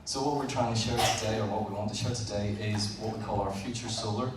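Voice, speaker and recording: A Northern Irish man presents to an audience in a large hall, with a low, flat voice that hardly rises or falls. He sounds far from the mic, and the room's echo and background noise come through clearly. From Northern Ireland. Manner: plain, a little halting, with frequent "uh" and repeated words. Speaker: engineer presenting at an event. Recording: roomy, distant event recording with background noise.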